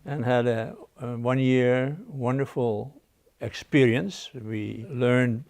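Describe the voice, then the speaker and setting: A gravelly older man from the Netherlands, born in the Dutch East Indies, thinking back on his past as an interview guest. He talks in a halting way, pausing often with fillers. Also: mid-range voice, steady tone, darkish tone. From born in the Dutch East Indies, raised in the Netherlands. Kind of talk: casual interview.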